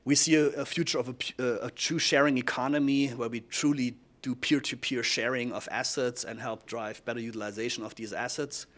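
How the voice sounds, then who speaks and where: A man's voice: mid-range voice, fairly even intonation, fairly quick, few pauses, a bit bright. A man with a German accent presenting and explaining in a talk, often checking in with short questioning tags. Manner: frequent questioning tags like "yeah?" and "right?". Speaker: technology foundation speaker giving a presentation.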